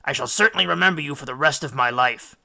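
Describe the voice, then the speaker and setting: A man's voice: on the high side, fast, creaky, pushed and bright, exaggerated voice. A man voicing a weary older Greek hero, courteous but proud, with formal, declamatory speech in a storybook. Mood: polite, firm.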